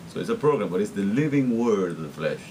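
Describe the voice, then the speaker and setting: Earnest man, low voice. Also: very animated, quick pace, mellow, a little hiss or hum. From Guatemala. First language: Spanish. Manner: earnest, repetitive, many restarts. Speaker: vlogger. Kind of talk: rambling personal monologue.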